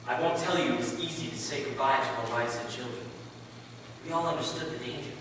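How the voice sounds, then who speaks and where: A man's voice: mid-range voice, brisk, distant mic, natural voice. A man playing a resolute, devout missionary pilot and father in a readers theater piece. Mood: solemn, gentle. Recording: echoey hall from afar, faint noise.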